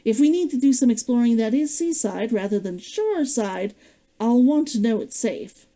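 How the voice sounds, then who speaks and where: Woman reading cautious male companion, practical, mellow tone. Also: on the high side, normal speed, lightly acted. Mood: earnest. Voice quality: her own lively voice.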